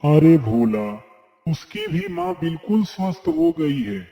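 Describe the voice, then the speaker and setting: A man's voice: a little high, huge pitch swings, a little slow, gravelly, muffled recording, full character voice. A man voicing a kindly, wise magical tree in a cartoon moral story, speaking in a solemn, grand manner. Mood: kindly, reassuring. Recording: long cavernous reverb.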